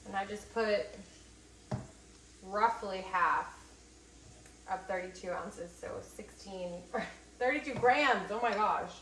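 A woman's voice: medium pitch, slow, pauses often, a bit bright. A young woman chatting casually while cooking lunch in her home kitchen, with self-mocking asides. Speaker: vlogger. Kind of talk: casual cooking vlog chat. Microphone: camera mic from a few feet away. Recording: echoey kitchen, faint cooking noise.

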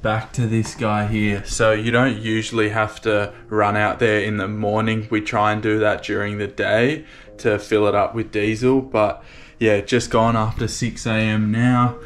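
A man's voice: lowish voice, little pitch movement, a little slow, a bit bright, soft background music. A man vlogging casually from a remote island lighthouse, chatting as he walks viewers through his morning chores. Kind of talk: casual first-person vlog narration.

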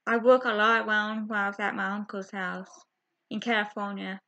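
A young woman chatting to her webcam from a small bedroom, halting, with many fillers and restarts. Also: mid-range voice, level tone, bright, forceful tone. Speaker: vlogger. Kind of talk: casual chat to camera. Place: small bedroom with window blinds. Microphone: webcam at close range. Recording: dull lo-fi webcam audio.

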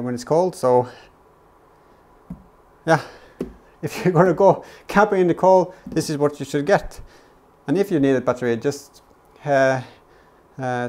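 A man's voice: medium pitch, vocal fry, darkish tone. A man explains things casually in a vlog, hesitantly and often repeating words. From Norway. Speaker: vlogger, DIY car channel host. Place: home garage workshop, indoors.

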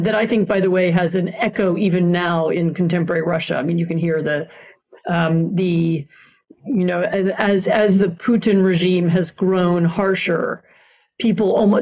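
A middle-aged woman with a low voice answers at length as a podcast guest. She explains in a measured, thoughtful way. Her sentences are threaded with "um" and "you know". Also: a little mellow. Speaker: guest historian.

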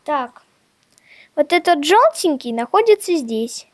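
A female host chatting casually in a toy unboxing video, showing things step by step in a simple, halting way. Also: high voice, sing-song. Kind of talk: casual unboxing vlog chat.

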